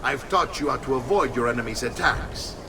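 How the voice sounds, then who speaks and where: An actor playing a commanding middle-aged Italian uncle and sword mentor in a video game, with an Italian accent and a theatrical delivery. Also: male voice, medium pitch, a little fast, recorded from a distance, noisy background, close to an everyday voice. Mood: grave, instructive. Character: gruff hearty older Italian uncle, mercenary swordsman and mentor. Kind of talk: video game cutscene acting.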